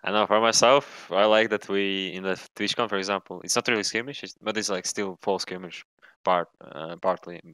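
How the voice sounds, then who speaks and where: A man's voice: sits low, steady tone, brisk, a bit bright, smooth, no echo, low-quality recording. A young man from Latvia, a pro gamer chatting casually in an interview with rambling, hesitant phrasing. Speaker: professional esports player.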